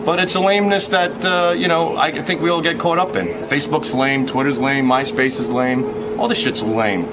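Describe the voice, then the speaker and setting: A man's voice: on the high side, steady tone, moderate pace, heavy background noise. An American man being interviewed in casual, conversational speech. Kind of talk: casual chat.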